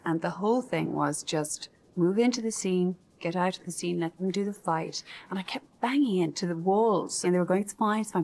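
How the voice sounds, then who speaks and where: An actress telling stories from a film set in an interview, animated and full of small fillers. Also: female voice, lowish voice. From Ireland. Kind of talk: reflective interview recollection.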